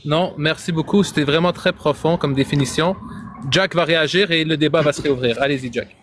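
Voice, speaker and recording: Polite man moderating, higher voice. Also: fairly quick, a bit bright, a little hiss or hum. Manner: polite, inquisitive. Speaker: debate moderator. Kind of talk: live-stream debate.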